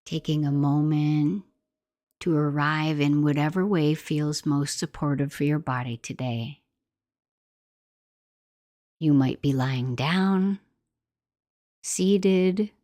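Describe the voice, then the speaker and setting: A woman guiding a meditation in a gentle, soothing, unhurried manner, like soft instructional narration. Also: low-pitched, dry recording, clean studio sound. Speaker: meditation guide.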